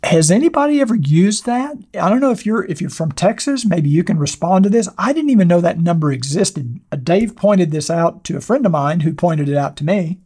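An older man with a hoarse voice, hosting a motorcycle channel and answering viewer questions in a rambling, casual way, seated at a desk in a small studio with a clip-on mic. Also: high-pitched, expressive, brisk, few pauses, a little mellow. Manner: rambling, conversational, many fillers and restarts. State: hoarse, losing his voice. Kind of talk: casual talk to camera answering viewer comments. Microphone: wireless clip-on mic.

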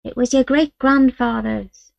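A woman voicing a fierce old great-grandmother from a storybook, with a high, croaky old voice. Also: lively intonation, brisk, no echo, highs cut off. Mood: wistful.

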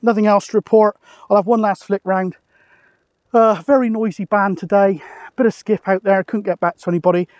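Casting: British man, forties, high voice, chatty and matter-of-fact hobby vlogger, dry open-air sound. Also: steady tone, fairly quick, a little mellow, no room sound. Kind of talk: casual explanatory outdoor vlog. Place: open misty hilltop outdoors.

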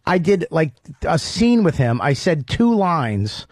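Loud, profane New York comedian host. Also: male voice, fairly high pitch, very animated, slow pace, dry recording, clean studio sound. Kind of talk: raunchy comedy radio banter.

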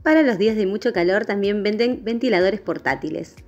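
A woman narrates a shopping video as a vlogger. Her voice sits at a middle pitch. The recording is somewhat dull and lo-fi, with music playing behind her. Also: brisk. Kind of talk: shopping haul voice-over narration. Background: background music.

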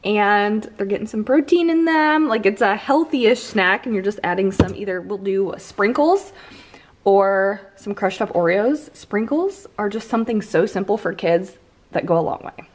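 An American woman in her thirties talks to her viewers in a casual, conversational way. Her voice sits at a middle pitch. She sounds like a lifestyle vlogger recording inside her house. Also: expressive, moderate pace, background noise. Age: 30s.

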